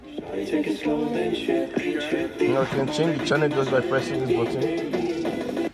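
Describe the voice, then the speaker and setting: A man's voice: medium pitch, huge pitch swings, slow pace. A young man hosting an electronics channel, talking through a project demonstration in voice-over. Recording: music behind the voice. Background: music, radio playing.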